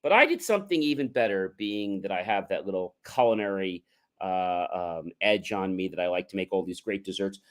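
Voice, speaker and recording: A man's voice: deep voice, animated, a little fast. A man hosting a motivational livestream, talking in a casual, rambling, conversational way with emphatic asides. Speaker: livestream host, motivational speaker.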